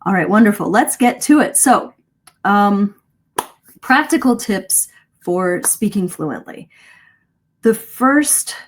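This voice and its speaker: A woman's voice: mid-range voice, lively intonation, slow, long pauses. A middle-aged woman coaching English pronunciation in a live webinar, talking earnestly and conversationally, often correcting herself mid-sentence. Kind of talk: live-streamed webinar teaching.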